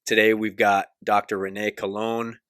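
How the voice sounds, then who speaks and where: Laid-back low-voiced podcast host. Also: male voice, level tone, moderate pace, a bit bright, smooth, no echo, studio quality. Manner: laid-back, filler-heavy questions. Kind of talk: casual interview hosting.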